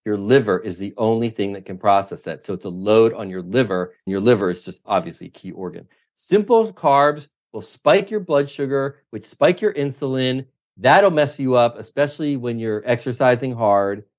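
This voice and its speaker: This is a middle-aged man coaching triathletes, speaking as a podcast guest. His voice sits at an everyday middle pitch. He is emphatic and opinionated and stacks up examples in lists. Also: animated, moderate pace, few pauses, a little mellow. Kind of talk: interview answers.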